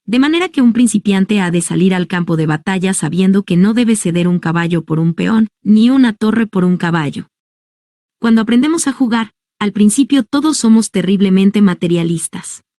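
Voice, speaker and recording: A woman reads a nonfiction book aloud in a calm, measured voice. Her tone is mellow and dark, and the recording is dry and close, with no room sound. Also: medium pitch, dry recording. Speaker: audiobook reader. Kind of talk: scripted narration of a nonfiction book.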